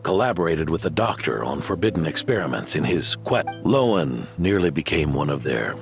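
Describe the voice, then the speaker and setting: An actor playing an aging, modest, grandfatherly knight with a weathered voice and measured delivery in a video game. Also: rumbling low, very animated, vocal fry, faint music behind, exaggerated voice. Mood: weary, reflective.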